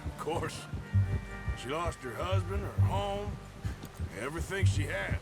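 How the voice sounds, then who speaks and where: A man's voice: a little high, expressive, measured pace. A man playing a rugged, wry frontier outlaw in a video game, with an American accent and a folksy, dry delivery. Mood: reflective. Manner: folksy, dry, plain-spoken. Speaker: outlaw gang member. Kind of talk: naturalistic video game acting. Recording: loud music bed behind dialogue.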